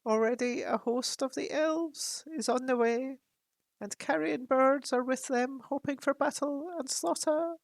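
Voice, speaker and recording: A woman voicing a huge, very old male raven chief who speaks with a croaking, solemn, measured voice. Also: sits high, dry recording, voice pushed up. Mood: solemn warning.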